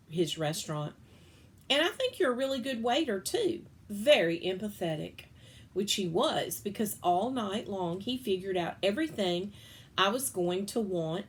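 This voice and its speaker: Woman reader, children's book, lively and playful, pitch leaps on punchlines. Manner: expressive, playful storytelling with big pitch swings. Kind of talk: reading a children's book aloud.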